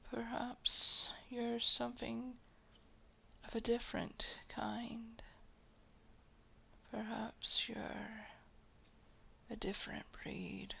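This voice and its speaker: A woman voicing a haughty, menacing old vampire in a roleplay audio drama, breathy and gravelly, drawing out words with soft condescension. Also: a little high, slight background noise, heavily acted. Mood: taunting, weary. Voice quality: breathy whisper with gravelly creak. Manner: slow, drawn-out, condescending, softly threatening. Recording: dull, lo-fi home microphone.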